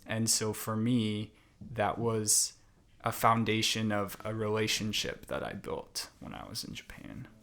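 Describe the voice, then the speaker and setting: Slow-talking young man, low voice. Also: little pitch movement, a bit bright. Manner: thoughtful, unhurried, many fillers and restarts. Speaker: podcast guest. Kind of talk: casual interview conversation.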